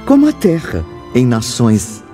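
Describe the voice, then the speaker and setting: A man narrating a scripted drama in a solemn, deliberate manner. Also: mid-range voice, wildly swooping pitch, mellow, soft background music.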